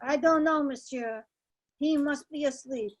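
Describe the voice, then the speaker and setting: A woman as high-voiced deferential servant. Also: measured pace. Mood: deferential, hesitant. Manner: hesitant, deferential. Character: deferential manservant, hesitant and polite. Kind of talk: radio play table read.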